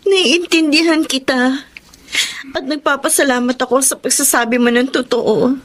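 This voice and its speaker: A woman's voice: high voice, fairly even intonation, normal speed. An actress with a Filipino accent playing a young, understanding and trusting girlfriend in a radio drama. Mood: hurt, grateful. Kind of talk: Tagalog radio drama acting.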